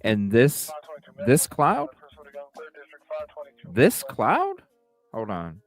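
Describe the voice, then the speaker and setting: A man hosting a livestream with casual, halting reaction commentary, often repeating himself. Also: mid-range voice, wildly swooping pitch. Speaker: livestream host. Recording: roomy, music playing behind. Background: background music.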